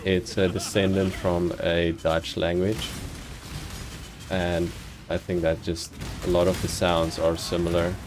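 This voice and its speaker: A man from South Africa with a slightly Americanized South African accent, chatting casually and haltingly with viewers while streaming a game. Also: sits low, over music. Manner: relaxed, chatty, halting with many ums and restarts, chuckles.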